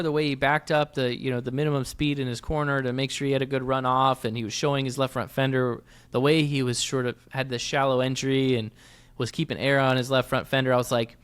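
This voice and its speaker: A man's voice: medium pitch, fairly bright tone. A man with an ordinary mid-range voice co-hosts a motorsports podcast. He talks casually and conversationally, offering opinionated analysis in the manner of sports chat.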